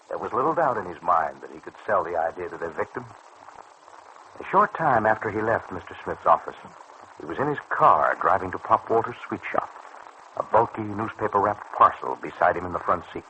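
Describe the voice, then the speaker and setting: Casting: male narrator for a vintage radio serial, lowish pitch, bright forceful delivery, faint background noise. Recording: faintly noisy old radio recording.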